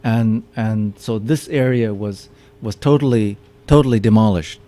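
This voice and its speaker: A man's voice: lowish voice, unhurried, dark tone. A man giving a public lecture with slides, often stopping to repeat his words as he explains. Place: meeting room with audience.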